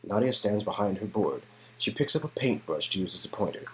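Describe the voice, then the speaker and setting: A man reads scene descriptions from an interactive story game. His voice is on the low side, and the delivery is scripted storytelling. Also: animated, brisk, a bit bright, low-quality recording. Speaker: narrator of a game-dialogue performance.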